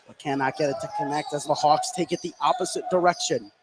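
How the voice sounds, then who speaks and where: High-voiced male basketball play-by-play announcer. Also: fairly even intonation, fast. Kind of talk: live basketball play-by-play commentary. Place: indoor basketball gym, courtside. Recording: noisy gym ambience, bouncing basketball. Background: game noise, ball bouncing.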